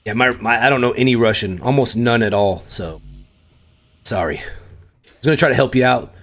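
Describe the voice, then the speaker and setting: A middle-aged American man talks casually to his live chat while he plays. He thinks out loud as he builds and often pokes fun at himself. His voice sits at an ordinary male pitch. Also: moderate pace. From United States. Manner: chatty, self-deprecating, thinks aloud and talks to chat. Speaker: game streamer.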